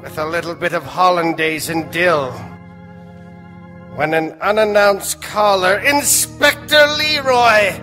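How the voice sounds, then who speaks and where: Man playing a slow, eerie old-time radio storyteller. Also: high voice, sharp tone, full character voice. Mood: storytelling. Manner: theatrical, drawn-out, ominous. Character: eerie doctor narrator, slyly macabre storyteller. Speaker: narrator and host of a mystery serial. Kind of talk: old-time radio horror narration, performed on stage. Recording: reverberant stage hall, music behind.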